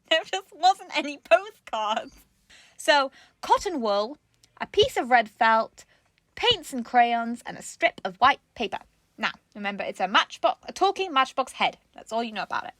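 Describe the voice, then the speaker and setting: A woman's voice: sits high, expressive, a little slow, fairly bright tone, dry recording. A woman chatting casually and playfully as co-host of a craft show, giggly and enthusiastic. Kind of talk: casual comedic chat.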